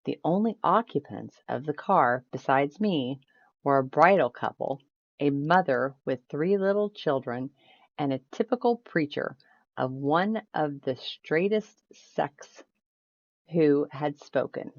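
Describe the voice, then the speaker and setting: A woman's voice: sits low, lively intonation, slow pace. A woman reading a ghost story aloud as scripted storybook narration. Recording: roomy echo, slightly dull sound.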